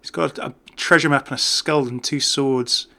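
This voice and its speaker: Bright, chatty male gaming commentator. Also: mid-range voice, steady tone, moderate pace, vocal fry. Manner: chatty, jokey, rambling. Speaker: gaming YouTuber. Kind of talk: casual off-the-cuff game commentary.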